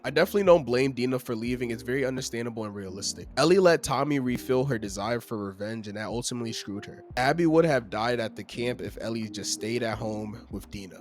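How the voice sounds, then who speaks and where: A young man with a slightly raspy voice from a cold, reading a scripted video game review in an earnest, opinionated way. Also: mid-range voice. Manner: earnest, opinionated, steady delivery. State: slightly raspy from being sick.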